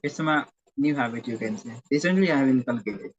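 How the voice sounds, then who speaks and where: A man who teaches at a primary school, speaking as an interview guest in a halting way and often correcting himself mid-sentence. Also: mid-range voice, lively intonation, a little hiss or hum. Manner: halting, self-correcting, searching for words. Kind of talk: interview conversation. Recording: dull, dry lo-fi audio.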